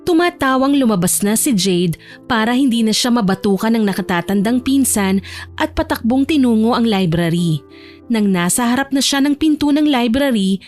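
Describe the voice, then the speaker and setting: A woman's voice: a little high, darkish tone. A woman narrator delivering dramatic scripted storytelling for an audio drama.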